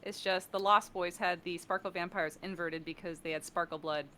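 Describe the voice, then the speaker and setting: A woman's voice: lowish voice, fairly even intonation, moderate pace, a bit bright, slight background noise. A woman chatting casually and playfully as a guest on a group stream. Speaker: guest voice actor. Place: online stream call.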